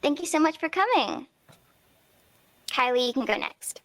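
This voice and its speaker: A woman's voice: sits high, animated, normal speed. A fourteen-year-old girl, a student co-host, moderating a panel in an upbeat, polite way. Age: teens.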